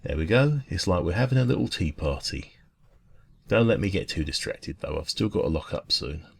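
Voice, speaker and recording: A man playing a friendly, caring dragon who runs a cosy café, talking gently and reassuringly in an ASMR roleplay. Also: deep voice, sing-song, a little fast, gravelly, husky, full character voice. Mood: friendly, relaxed.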